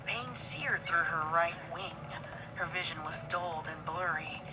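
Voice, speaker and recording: A woman reading dark fiction as a dramatic narrator, her phrases often falling hushed. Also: on the low side, a little slow, over music, rough recording. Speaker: story narrator. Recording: very loud storm-like ambience behind.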